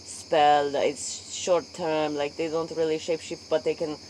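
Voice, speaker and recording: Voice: mid-range voice, little pitch movement, moderate pace, darkish tone. An interviewer in an improvised mock interview, echoing and paraphrasing what the guest says. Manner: echoes and paraphrases the guest's answers. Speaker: late-night interviewer. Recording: faint noise, crickets outdoors.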